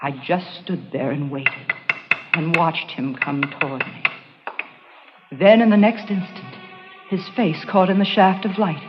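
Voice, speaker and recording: A woman's voice: lowish voice, fairly even intonation, measured pace, natural voice. Haunted woman narrator, played by an actress, old radio. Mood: frightened, hushed. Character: haunted, frightened woman on trial, narrating her nightmare. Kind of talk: 1940s radio drama narration. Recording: muffled old radio recording, music behind.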